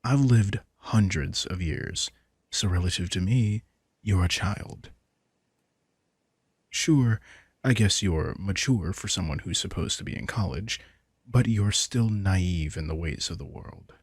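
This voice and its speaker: A male actor as a centuries-old vampire in an intimate roleplay audio drama. His deep voice crackles with fry and sits close and dry. He talks casually and sardonically, teasing but protective. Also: slow pace, no room sound, exaggerated voice. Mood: protective, condescending.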